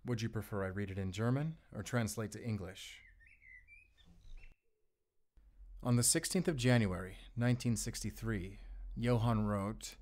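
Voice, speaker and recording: A man playing a calm, methodical, grimly wry wolf hunter in an audio roleplay, speaking in a measured, formal way. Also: lowish voice, close to an everyday voice. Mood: grim, businesslike.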